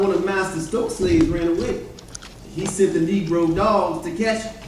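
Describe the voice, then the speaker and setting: A man playing a formerly enslaved man who recounts escapes in folksy dialect, in a readers theater performance. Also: high-pitched. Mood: storytelling. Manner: folksy dialect storytelling with repeated "now". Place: church hall, recorded from the audience area. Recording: distant mic in echoey hall, background noise.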